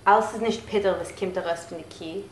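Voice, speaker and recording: A woman's voice: on the low side, steady tone, fairly quick. A woman reading out short folk sayings one at a time in flavoured speech. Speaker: reader of Yiddish proverbs. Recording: roomy echo with background noise.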